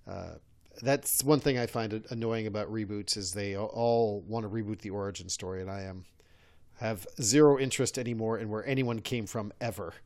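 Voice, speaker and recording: A man's voice: lowish voice, brisk, darkish tone. A man co-hosting a podcast in casual, unscripted chat, often repeating and correcting his words. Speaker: podcast co-host.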